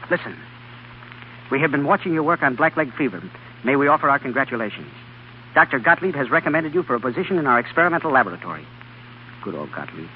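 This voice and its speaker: A man's voice: mid-range voice, big pitch swings, fast, a little hiss or hum, natural voice. A man playing a cocky, eager young doctor and scientist in a 1930s radio drama, folksy and punchy. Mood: eager, excited. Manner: folksy, plain-spoken, punchy. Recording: muffled 1930s radio recording, crowd behind.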